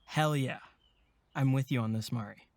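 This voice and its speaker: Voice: medium pitch, normal speed, darkish tone, no room sound, natural voice. A voice actor playing an earnest, casual young male camp counselor in a horror audio drama. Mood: casual, supportive.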